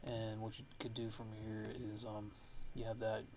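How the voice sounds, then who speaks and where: A man giving a casual ASMR music production tutorial, thinking aloud in a halting way as he works. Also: on the low side, monotone, noisy background.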